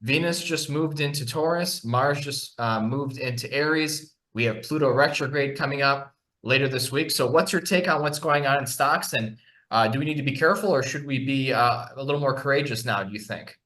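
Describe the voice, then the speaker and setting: Bright-voiced male market podcast host. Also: mid-range voice, moderate pace. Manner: conversational, many fillers and restarts. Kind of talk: casual market discussion over a shared screen.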